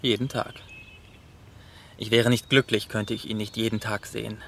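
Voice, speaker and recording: An actor in a German radio play voicing an earnest, sensitive painter with a confessional manner. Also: male voice, on the low side, little pitch movement, moderate pace, vocal fry, fairly bright tone, a little hiss or hum. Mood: devoted, earnest.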